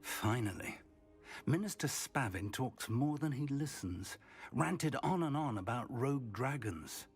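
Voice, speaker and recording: A man voicing an older wizard professor in a game cutscene, a wry, curious mentor given to breathy exclamations. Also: mid-range voice, animated, measured pace, soft background music.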